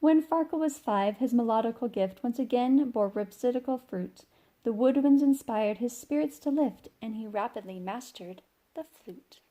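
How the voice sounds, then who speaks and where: A woman reading a rhyming children's picture book aloud in an expressive, sing-song way. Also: on the high side, moderate pace. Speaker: storybook reader.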